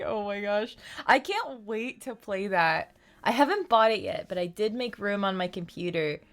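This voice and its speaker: A woman's voice: mid-range voice, a bit bright. A woman game streamer chatting casually on a livestream in an informal, rambling, giggly way. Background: faint game music.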